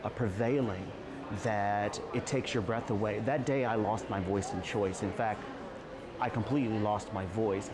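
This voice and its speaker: A man speaking earnestly as an interview guest, at times halting and repeating words. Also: lowish voice, a crowd in the background. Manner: emotional, halting restarts. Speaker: guest, trauma survivor and advocate.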